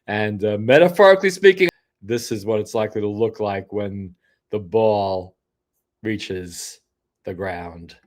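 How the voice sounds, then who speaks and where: A man's voice: fairly low pitch, a little slow. An older man hosting a solo livestream, rambling through casual commentary with frequent restarts and asides, sniffly from a cold. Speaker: host.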